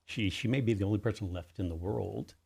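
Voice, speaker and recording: A man speaking casually as an interview guest, explaining things in a halting, piecemeal way. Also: on the low side, creaky, darkish tone, slightly rough. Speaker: interview guest, drama writer and producer.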